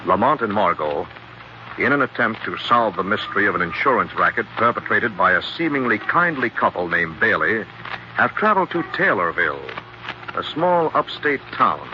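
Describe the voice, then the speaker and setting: A man's voice: fairly low pitch, very animated, normal speed. A man delivering scripted, dramatic narration in the style of 1940s radio. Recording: dull, noisy 1940s radio recording.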